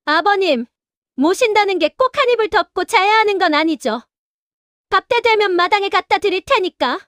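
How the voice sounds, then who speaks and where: A woman's voice: very high-pitched, expressive, normal speed, a bit bright, no echo, clean studio sound, pitched above the performer's own voice. A woman voicing a cold, dismissive daughter-in-law in an audio drama. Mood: scornful. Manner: dismissive, scornful.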